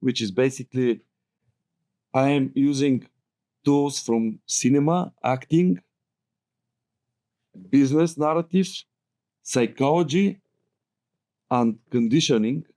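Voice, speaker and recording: Bulgarian-accented man, middle-aged, lively rambling guest storyteller. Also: mid-range voice, slow pace, pauses often. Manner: animated, rambling storyteller who laughs often. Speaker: guest filmmaker. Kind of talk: casual roundtable chat.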